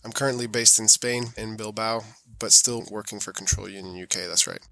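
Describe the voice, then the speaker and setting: A man's voice: lowish voice, fairly even intonation, brisk, gravelly, sharp tone, dry recording. A man with an American accent giving informal, self-effacing answers as an interview guest. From born in New York, raised mostly in Europe. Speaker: podcast guest, sustainability auditor.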